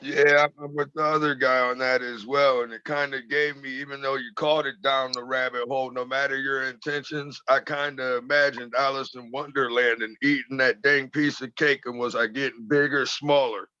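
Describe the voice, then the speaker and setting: A man with a smooth, crisp, bright voice and an even delivery, casual and folksy. His call audio is a little dull. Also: mid-range voice, moderate pace, hardly any pauses. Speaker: fellow guest.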